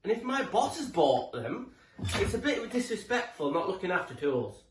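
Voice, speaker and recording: A man's voice: high-pitched, sing-song, moderate pace. A British man in his forties speaking casually and chattily to camera as a tradesman giving informal, rambling tool tips. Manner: chatty, rambling, matey, mildly sweary. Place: living room, indoors. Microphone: camera a few feet away. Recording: echoey room, distant mic, background noise.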